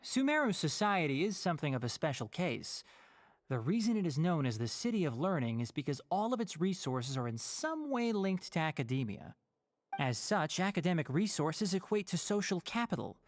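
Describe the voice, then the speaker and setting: A man's voice: on the high side, sing-song, normal speed, no room sound. A man playing a sensible young scholar in a game cutscene, dry, articulate and matter-of-fact. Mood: wry. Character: wry, sensible young male scholar and guardian. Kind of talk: video game cutscene acting.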